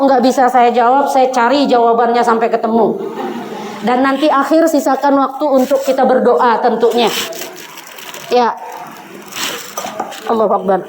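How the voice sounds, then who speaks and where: A woman in her forties preaching to a women's congregation in a conversational way, often addressing her listeners directly. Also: high voice. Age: 40s. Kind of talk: sermon to a women's congregation, with recited Arabic quotations. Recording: echoey room, faint background noise.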